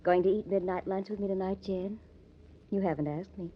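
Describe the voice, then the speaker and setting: Actress playing a pouty young sweetheart, lo-fi sound. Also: female voice, mid-range voice, steady tone, fairly quick, husky, natural voice. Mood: coy, teasing. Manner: coy, pouting. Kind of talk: old-time radio crime drama acting. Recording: dull lo-fi old radio recording.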